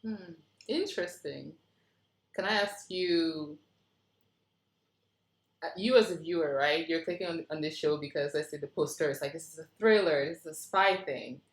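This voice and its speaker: A woman's voice: low-pitched, normal speed. A woman casually leading a group feedback discussion, putting questions to the others. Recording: echoey room.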